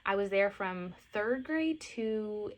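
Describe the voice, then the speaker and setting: A woman's voice: mid-range voice, lively intonation, slow pace. Tearful, gushing woman in her twenties. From born in Costa Rica, grew up in Miami. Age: 20s. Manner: emotional, gushing, heavy on fillers. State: teary. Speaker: lifestyle and mom vlogger. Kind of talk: casual talk to camera.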